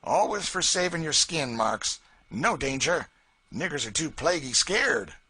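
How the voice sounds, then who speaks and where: A man reading aloud, voicing a brash, coarse slave catcher who blusters loudly. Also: a little high, big pitch swings, measured pace, slightly rough, dry recording, pitched above the performer's own voice, full character voice. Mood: blustering. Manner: loud, blustering, coarse frontier speech.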